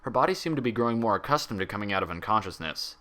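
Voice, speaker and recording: A man narrates a story expressively from a script. His voice sits fairly low, with a bright, forceful tone that carries the prose. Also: lively intonation, racing. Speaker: fan fiction reader.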